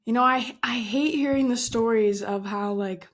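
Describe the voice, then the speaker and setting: A woman's voice: a little high, normal speed. A woman of twenty-two hosting her own podcast, chatting casually with giggles, emphatic pep talk and mild swearing. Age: 20s. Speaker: podcast host.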